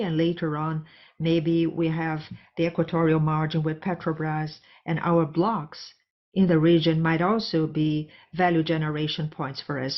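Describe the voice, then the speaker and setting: A woman interpreting live on a corporate earnings call, speaking phrase by phrase with occasional self-corrections. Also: low-pitched. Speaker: simultaneous interpreter. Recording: dull, lo-fi conference call audio.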